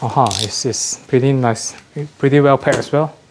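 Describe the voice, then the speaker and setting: A man's voice: mid-range voice, fairly even intonation, moderate pace. Man chatting casually over noise. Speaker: host of an audio gear channel. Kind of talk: casual unboxing chat.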